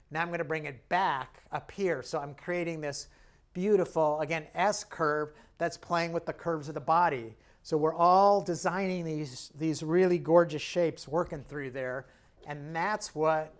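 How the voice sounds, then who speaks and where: Guy demoing a painting, jokey and chatty, talks while he works, bit hoarse, voice a bit high. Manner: chatty, self-deprecating and jokey while working; talks while painting and stepping back from the canvas. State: slightly hoarse, clearing his throat. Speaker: painting instructor. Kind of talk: casual painting demonstration.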